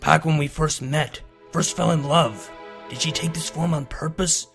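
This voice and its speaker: A man's voice: a little high, fairly even intonation, normal speed, gravelly, a bit bright. Man acting as old stallion, brooding, sorrowful, self-loathing, storybook drama, music underneath. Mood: bitter self-loathing.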